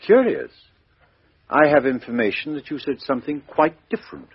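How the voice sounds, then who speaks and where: An actor playing a calm, methodical male police chief inspector who questions in a measured, coolly ironic way, in a 1950s radio drama. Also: fairly high pitch, husky. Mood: probing. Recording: dull lo-fi old radio recording.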